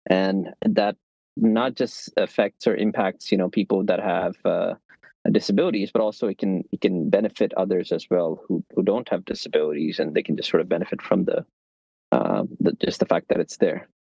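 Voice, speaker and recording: A young man from Pakistan, a tech founder and podcast guest, explaining things in a relaxed way and joking about himself, recorded on a phone. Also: deep voice, moderate pace, gravelly, husky, no room sound. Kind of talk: casual interview storytelling. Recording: dull lo-fi, dry sound.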